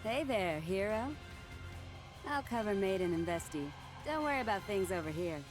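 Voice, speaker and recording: A woman's voice: medium pitch, animated, normal speed, close to an everyday voice. A woman voicing a breezy, confident fighter ally in an English anime game dub. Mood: breezy confidence. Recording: clear background music under the voice.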